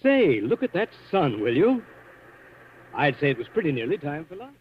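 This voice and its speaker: An actor playing a folksy, forward middle-aged suitor in a breezy, familiar manner in a 1940s radio drama. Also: male voice, on the high side, sing-song, normal speed. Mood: breezy, flirtatious. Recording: dull, hissy vintage radio recording.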